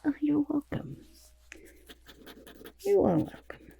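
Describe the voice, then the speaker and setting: A woman voicing an eccentric, self-satisfied goblin artist in an ASMR roleplay, chatty and boastful, with a creaky voice that often drops into whispers. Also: high voice, big pitch swings, dark tone, dull audio, a little hiss or hum, heavily acted. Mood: smug, delighted. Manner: chatty, boastful, third-person self-talk, halting murmurs. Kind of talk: ASMR roleplay, personal attention.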